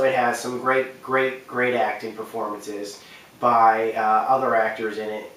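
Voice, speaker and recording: A man's voice: medium pitch, fairly bright tone. A man hosting a video game countdown with casual, unscripted commentary, stressing and repeating words for emphasis. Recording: very roomy echo, distant from mic.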